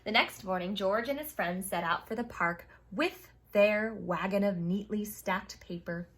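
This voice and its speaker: A woman reading a picture book aloud to young children, expressive, with chatty exclaiming asides to her listeners. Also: medium pitch, unhurried. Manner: expressive, addresses children, exclaims and reacts to the story. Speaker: children's storytime reader.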